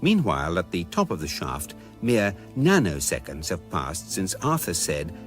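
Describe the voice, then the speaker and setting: An actor playing a drily pedantic storyteller, narrating a comic radio drama in a measured, precise way. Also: male voice, low voice, wildly swooping pitch, soft background music, heavily acted. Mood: dry, measured.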